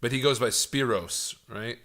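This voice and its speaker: A man's voice: mid-range voice, unhurried, pushed and bright. A man with an American accent hosting a podcast and casually interviewing a guest.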